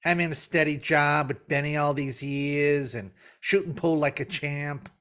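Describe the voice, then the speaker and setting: A man's voice: on the high side, fairly even intonation, unhurried, fairly bright tone. A man playing a gullible, out-of-work pool buddy in a 1950s-style radio comedy, the wide-eyed straight man. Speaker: radio play actor.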